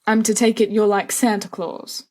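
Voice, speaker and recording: A woman's voice: mid-range voice, flat delivery, moderate pace, a little mellow, lightly acted. A woman voicing a sensible teenage schoolboy in a story, dry, weary and reproving.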